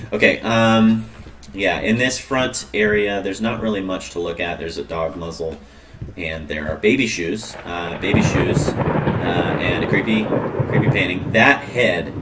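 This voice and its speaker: A man's voice: deep voice, a bit bright, distant mic. A man casually commentating on a game he is playing, rambling and joking, with lots of ums and uhs. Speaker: let's play host.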